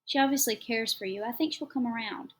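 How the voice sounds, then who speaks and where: A woman reading the part of a caring but strained foster mother in an audiobook, gently coaxing. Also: fairly high pitch, fairly quick, close to an everyday voice. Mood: gentle. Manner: gentle, coaxing, sharpens when upset. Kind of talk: audiobook character voice.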